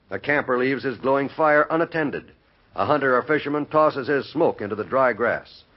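Vintage radio announcer: male, mid-pitched, husky, animated, on a dull lo-fi archive recording with faint music. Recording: muffled old radio transcription, faint music.

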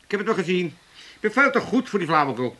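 A man's voice: sits high, very animated, quick pace, pushed and bright, full character voice. A man playing a boastful, self-satisfied working-class father in a radio comedy, brash and chatty. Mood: smug. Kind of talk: 1980s radio comedy acting.

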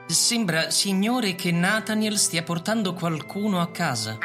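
A man's voice: high-pitched, lively intonation, unhurried, over loud music. A man playing an ageless robot butler in a radio play, formal and deferential. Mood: dutiful, formal. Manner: formal, servile.

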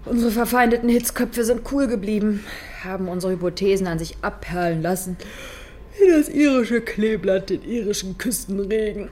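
A woman's voice: medium pitch, moderate pace, warm tone, close to an everyday voice. A woman playing a sober, sceptical police detective in a German radio crime drama, dry and thoughtful in delivery.

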